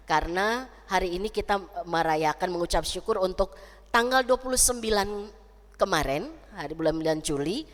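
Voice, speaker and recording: Nasal, congested woman pastor in a church. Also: on the low side. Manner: chatty with the congregation, tags lines with "ya" and "gitu kan". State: nasal and congested from losing her voice. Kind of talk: church service prayer and sermon. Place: church sanctuary. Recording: long church hall reverb.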